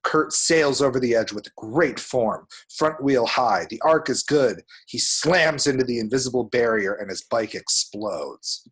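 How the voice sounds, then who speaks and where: A man with a husky voice narrating action descriptions at a screenplay table read. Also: medium pitch, lively intonation, measured pace, hardly any pauses, a bit bright.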